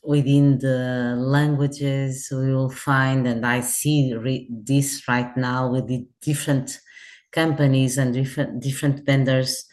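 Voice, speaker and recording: Middle-aged woman, Portuguese accent, low pitch; measured guest answering questions, searching for words. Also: little pitch movement, darkish tone. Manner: thoughtful, restarts phrases, searches for words. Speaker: guest, head of customer services. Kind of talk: remote interview.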